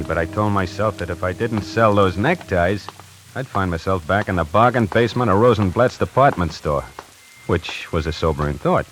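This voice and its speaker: A man's voice: on the low side, lightly acted. A man playing a hardboiled, slangy narrator. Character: wisecracking male necktie salesman narrating his own story. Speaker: narrator and lead character. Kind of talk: 1940s radio acting. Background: music.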